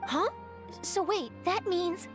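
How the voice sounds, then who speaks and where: A woman playing an earnest, polite young female journalist in a video game, speaking in a measured, courteous way. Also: extremely high pitch, expressive, music in the background, exaggerated voice. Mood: interested.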